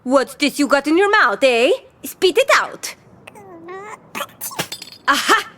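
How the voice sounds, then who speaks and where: A woman's voice: sits high, lively intonation, slow, sharp tone, slight background noise, full character voice. A woman playing a bossy, treasure-obsessed pirate captain in a cartoon adventure, theatrical and full of salty pirate slang. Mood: excited, commanding. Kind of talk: animated cartoon adventure storytelling.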